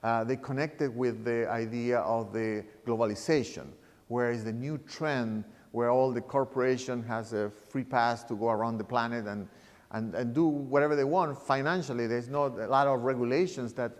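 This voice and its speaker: A man talks about his art in a halting, searching way, often stopping to restart a phrase. His voice sits in a middle register. The recording carries a long, lingering reverb. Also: medium pitch. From Chile. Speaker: artist. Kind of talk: reflective interview talk. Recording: reverberant room sound.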